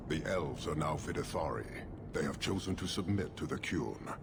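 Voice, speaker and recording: Brief: stern warlord, very deep and gravelly, measured, game audio with noisy ambience. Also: male voice, lively intonation, exaggerated voice. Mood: cold. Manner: cold, measured, imperious. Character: stern towering male warlord leader.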